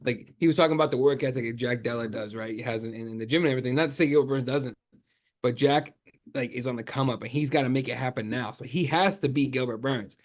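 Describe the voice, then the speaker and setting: A man speaking English as a second language, talking sports in an animated way, with lots of fillers and restarts as he thinks aloud. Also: mid-range voice, fast talker. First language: not English. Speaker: MMA journalist guest. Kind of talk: casual sports podcast chat.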